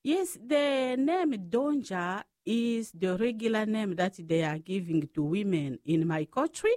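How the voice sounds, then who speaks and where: A woman with a Congolese accent speaks slowly as an interview guest, recounting her life in short, halting phrases. Her pitch is medium for a woman and the sound is dry and close. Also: lively intonation, darkish tone, dry recording. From Democratic Republic of the Congo. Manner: halting phrases in non-native English grammar. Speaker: guest, Franciscan sister. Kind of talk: personal storytelling in an interview.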